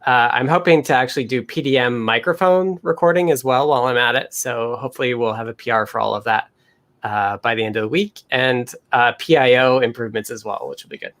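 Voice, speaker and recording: A man casually demonstrating his technical project, with a somewhat halting way of talking. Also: medium pitch, a bit bright. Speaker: developer showing a project.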